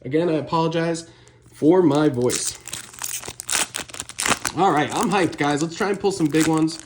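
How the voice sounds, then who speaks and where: A man's voice: on the high side, measured pace, background noise. Nasal man getting over a cold. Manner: chatty, many ums and uhs. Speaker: card collector opening booster packs. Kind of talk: casual unboxing vlog.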